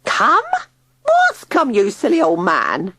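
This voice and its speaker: A woman reader voicing Tilly, a shrill old woman who screeches and scolds. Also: wildly swooping pitch, takes it slowly, bright, forceful tone, slightly rough, dry recording, full character voice. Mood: shrill scolding. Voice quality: shrieking, scolding.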